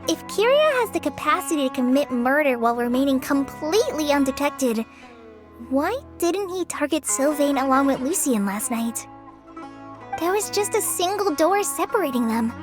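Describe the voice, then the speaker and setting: A woman voicing an earnest, soft-hearted girl companion in a game, who speaks hesitantly and often sighs. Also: high-pitched, expressive, moderate pace, over music. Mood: thoughtful.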